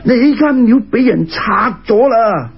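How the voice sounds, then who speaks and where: A man's voice: sits high, animated, unhurried, husky, heavily acted. A man with a Cantonese accent voicing a flustered, deferential earth god messenger in a folk tale. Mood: panicked. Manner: deferential, alarmed. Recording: muffled lo-fi radio recording.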